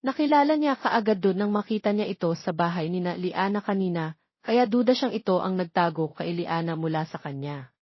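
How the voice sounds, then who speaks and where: A woman's voice: on the low side, lively intonation, a little fast, dry recording, lo-fi sound. A woman reading scripted, dramatic romance fiction as a story narrator.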